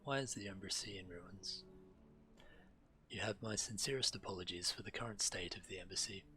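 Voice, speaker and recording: Deep, bright-voiced man reading aloud. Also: a little fast. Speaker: game streamer. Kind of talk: reading quest text aloud while playing. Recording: game music behind the voice. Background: video game music.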